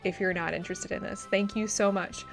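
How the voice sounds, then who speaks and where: A woman's voice: mid-range voice, fairly even intonation, brisk, creaky. A woman hosting a gaming video, giving informal, rambling let's play commentary. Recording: music behind the voice. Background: game music.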